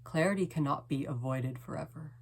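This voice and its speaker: A woman reading her own poem in a dramatic, lingering way, with hushed phrase endings and long pauses. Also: low voice, husky. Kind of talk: slow dramatic poetry reading.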